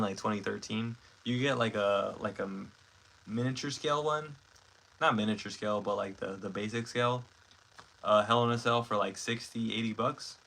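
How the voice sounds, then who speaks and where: Gravelly young man rambling on a livestream. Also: mid-range voice, fairly bright tone, poor audio. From Mexico. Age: 20s. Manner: rambling, filler-heavy, self-correcting. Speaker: stop-motion creator hosting a livestream. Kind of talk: casual livestream chat, reading comments.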